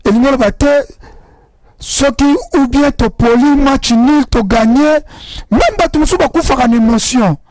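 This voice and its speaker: A man's voice: extremely high pitch, moderate pace, a little mellow, no echo, low-quality recording. A young man from Congo with a Congolese accent, mixing French and Lingala as he hosts a rallying talk show monologue.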